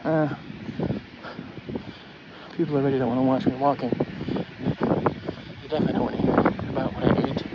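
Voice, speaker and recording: A man of forty talking casually in a halting, self-deprecating way, thinking aloud as he rambles through a vlog. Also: mid-range voice, flat delivery. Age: 40s. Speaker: vlogger. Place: outdoors, walking along a neighborhood street. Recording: dull phone audio, noisy street ambience with traffic.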